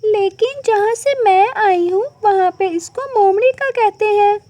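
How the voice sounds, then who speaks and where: A woman's voice: very high-pitched, a little slow, dark tone, dry recording, pitched above the performer's own voice. A woman voicing a sweet, naive, hungry young runaway girl in a cartoon fairy tale. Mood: pleading, naive.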